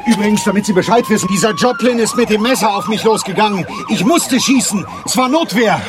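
Man acting a clipped, urgent private eye in radio drama, high-pitched and agitated, siren-filled noisy street behind. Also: fairly quick, lightly acted. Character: urgent male private detective in action. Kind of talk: crime radio drama dialogue.

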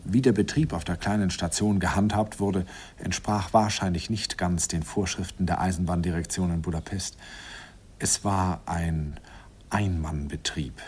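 A man's voice: low voice, normal speed, darkish tone, slightly rough. A man playing a nostalgic narrator recalling a youthful romance in a radio play, wistful, intimate and amused. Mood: wry.

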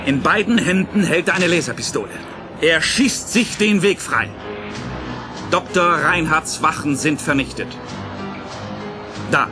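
Dramatic male narrator over loud music. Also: fairly high pitch, lively intonation, measured pace, pauses often. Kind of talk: dramatic scripted narration of a radio play.

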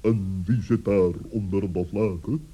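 A man voicing a pompous, solemn, fussy male talking bird with wordy, deliberate speech and a husky voice in a children's radio play. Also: on the low side, steady tone, dark tone, pitched below the performer's own voice. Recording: dull lo-fi old recording.

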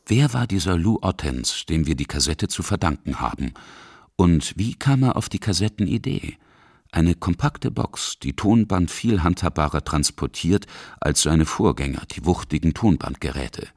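A man narrating a scripted radio feature in a husky voice. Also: low voice, animated, normal speed, a little mellow, dry recording, clean studio sound.

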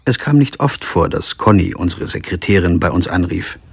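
A man's voice: sits low, expressive, moderate pace, creaky, breathy edge, no room sound, full character voice. An actor playing a sober, observant lawyer who narrates his own story in a radio crime drama. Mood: sober, reflective. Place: studio.

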